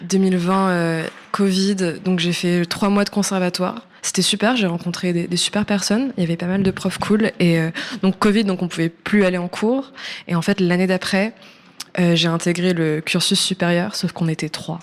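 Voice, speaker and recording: A woman's voice: fairly low pitch, fairly even intonation, moderate pace. A woman in her early twenties, a native English speaker, chatting casually in a radio interview, hesitant and informal, often trailing off. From born in New York, French-American. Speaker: musician, singer guest.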